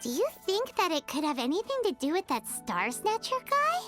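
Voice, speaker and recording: A tiny, bubbly fairy sidekick from a video game. The voice is extremely high and squeaky, bouncing around with animated, childlike curiosity. Music plays clearly behind it. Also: female voice, moderate pace. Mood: curious, eager. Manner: refers to self in third person, exclaiming, expressive. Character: small childlike floating fairy companion, bubbly, curious, cute. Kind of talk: video game character dialogue.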